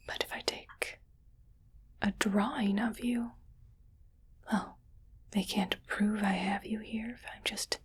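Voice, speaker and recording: Wealthy alien menagerie owner, played by a woman: hushed, very breathy ASMR delivery, unhurried, condescending, sweetly possessive. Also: medium pitch, heavily acted. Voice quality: breathy whisper. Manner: slow, condescending, coaxing. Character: smug, wealthy female alien collector of human pets. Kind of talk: ASMR roleplay, whispered monologue.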